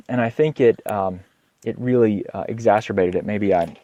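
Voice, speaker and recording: A slightly hoarse man with a cold hosts an informal health podcast as a doctor, speaking haltingly, repeating words and often clearing his throat. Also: fairly low pitch, warm tone, dry recording, muffled recording. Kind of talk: informal educational health monologue.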